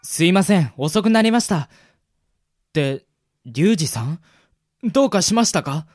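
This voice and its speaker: A man's voice: sits high, animated, moderate pace. A man acting a polite, easygoing young florist's son in a radio drama. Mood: concerned. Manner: polite, easygoing, soft-mannered speech. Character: polite, cheerful young son minding a flower shop.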